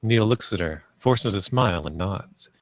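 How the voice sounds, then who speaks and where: Guy w a low-ish voice reading script stage directions, plain n measured, dry sound. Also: lively intonation, no room sound. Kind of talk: scripted narration at a screenplay table read.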